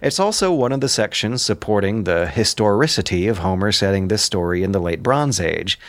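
A man's voice: fairly low pitch, dry recording. A man giving scripted commentary in an articulate, bookish way with dry asides. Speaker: writer and narrator of an audio drama.

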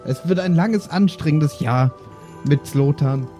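A man's voice: fairly high pitch, unhurried, mellow, soft background music, natural voice. A man playing a vain, elegant adventurer in an improvised audio game, with an affected, leisurely manner. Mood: self-satisfied.

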